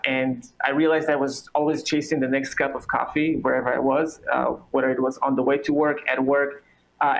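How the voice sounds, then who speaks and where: An Israeli-accented man speaks over a video call, working through his answers with frequent pauses and uhs. His voice has a gravelly, creaky edge. He comes across as earnest as he explains. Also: medium pitch, a bit bright, low-quality recording. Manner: hesitant, many uhs, earnest. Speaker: guest, coffee company founder. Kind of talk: interview answers.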